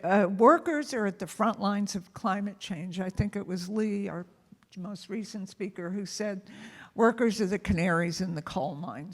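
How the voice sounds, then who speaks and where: A woman in the middle register sums up a conference session in loose, conversational talk. She keeps dropping "you know" between her points and carries a loud voice across the room. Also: mid-range voice. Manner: says she has a loud voice. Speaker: moderator. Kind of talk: conference wrap-up summary.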